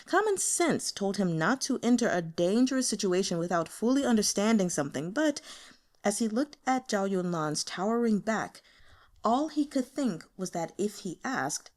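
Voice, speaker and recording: A woman reading a novel aloud as an expressive audiobook narrator. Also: mid-range voice, normal speed. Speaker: audiobook reader.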